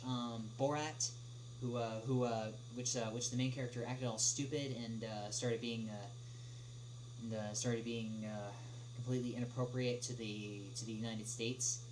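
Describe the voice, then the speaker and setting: A young man answering questions in a casual livestream chat, speaking haltingly with many drawn-out filler pauses. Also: medium pitch. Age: young adult. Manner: halting, hesitant delivery with frequent long filled pauses. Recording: clearly noisy, humming background.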